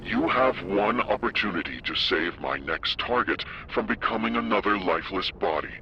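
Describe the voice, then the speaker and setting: A man voicing a taunting, cultured serial killer in a video game, with a mocking, deliberate, theatrical manner. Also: on the low side, expressive, dull audio, slight background noise, close to an everyday voice. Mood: menacing. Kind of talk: video game villain acting. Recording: loud music behind the voice.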